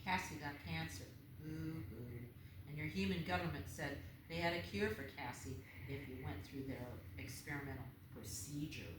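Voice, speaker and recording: A woman's voice: low voice, moderate pace, natural voice. Woman playing a mocking demon girl, very echoey. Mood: cruel taunting. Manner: mocking, flippant. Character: taunting, smug demon girl, punk rocker child. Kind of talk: screenplay table read. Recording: very echoey room, clear background noise.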